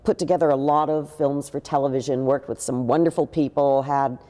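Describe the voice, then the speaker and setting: An older actress telling stories in a seated interview, with a deep, big voice and lively gestures. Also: female voice, fairly quick, big-room sound. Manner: expressive, gestures a lot while talking. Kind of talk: animated interview storytelling. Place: living room with a pool table, indoors.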